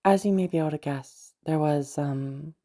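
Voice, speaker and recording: A woman playing a teasing, flirtatious, unhinged patient in a roleplay audio drama, rambling hesitantly with many pauses and hums. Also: low-pitched, mellow, natural voice. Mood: wistful confession. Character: teasing, rambling, flirtatious crazy female patient confessing to her doctor. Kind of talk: roleplay audio drama, intimate one-sided conversation.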